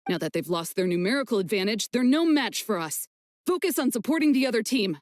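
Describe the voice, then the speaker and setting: A woman voicing a resolute soldier in a video game, confident and brisk. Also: on the high side, expressive, no room sound, natural voice. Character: resolute female soldier holding the line.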